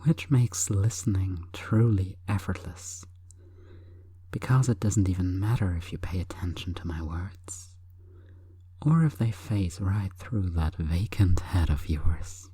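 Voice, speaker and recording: A woman hypnotist delivering an intimate sleep hypnosis monologue in a breathy, coaxing, deliberate whisper. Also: rumbling low, mellow, no echo.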